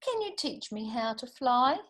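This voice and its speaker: A woman with a New Zealand accent voicing a friendly, eager little male kiwi bird in a children's storybook, exclaiming excitably. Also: fairly high pitch, a little slow, pitched above the performer's own voice.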